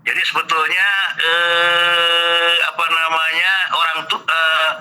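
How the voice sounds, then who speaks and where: A man's voice: a little high, monotone, slow, pushed and bright, smooth, low-quality recording. A middle-aged man from Indonesia, a guest in a casual alumni chat, who rambles and corrects himself as he talks. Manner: rambling, self-correcting, chuckling.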